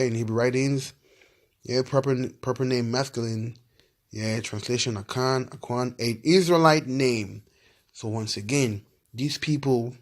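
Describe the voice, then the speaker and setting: A man from Ivory Coast casually talking through research as he reads from websites, filling gaps with "yeah" and "you know what I'm saying". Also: medium pitch. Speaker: video host.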